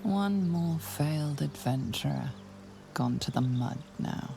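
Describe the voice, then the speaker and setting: A brooding storyteller with a deeply gravelly, fry-laden voice who speaks hushed and close to the listener. The delivery is slow and ominous, and a clear musical score runs beneath it. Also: female voice, animated, over music, exaggerated voice. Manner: slow, intimate, second-person telling. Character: ominous female storyteller narrator. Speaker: narrator. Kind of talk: game narration. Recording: game score behind.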